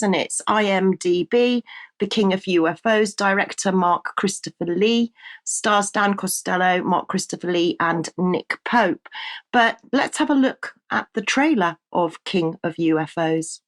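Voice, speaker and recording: A woman with a British accent presenting a news show, her voice a little croaky, chatting with fillers and restarts. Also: mid-range voice. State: slightly croaky voice. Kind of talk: casual news commentary.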